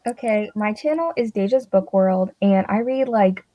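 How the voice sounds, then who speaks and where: A woman's voice: medium pitch, moderate pace, dry recording, highs cut off. A young woman, a book vlogger, chatting casually in a rambling, self-deprecating way with many fillers. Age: young adult. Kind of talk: casual chat.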